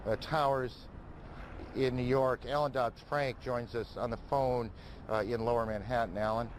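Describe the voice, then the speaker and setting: A man anchoring live breaking news in a halting manner. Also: mid-range voice, fairly even intonation, slight background noise, poor audio.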